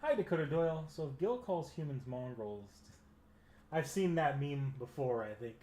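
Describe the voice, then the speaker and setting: A man's voice: medium pitch, lively intonation, normal speed, mellow. A man hosting a livestream, chatting casually with viewers and rambling as he thinks aloud. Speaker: livestream host. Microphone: desktop mic at a computer. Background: music.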